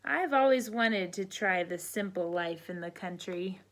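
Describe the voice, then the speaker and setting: A woman's voice: mid-range voice, a little slow, fairly bright tone, a little hiss or hum, natural voice. A woman voicing a hopeful, earnest male cat in a children's storybook. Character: bruised male town cat with a hopeful idea.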